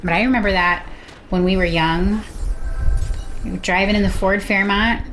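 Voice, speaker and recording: A woman's voice: mid-range voice, steady tone, moderate pace, a bit bright, soft background music, low-quality recording. A woman telling personal anecdotes in casual conversation as a guest on a stream. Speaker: guest on sibling's stream, mother.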